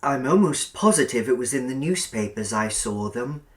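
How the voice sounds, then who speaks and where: Man playing a polite, earnest teenage boy, lively pitch. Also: normal speed, lightly acted. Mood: eager, puzzled. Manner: polite, hesitant. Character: polite, earnest seventeen-year-old boy, mildly puzzled. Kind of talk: audiobook character acting.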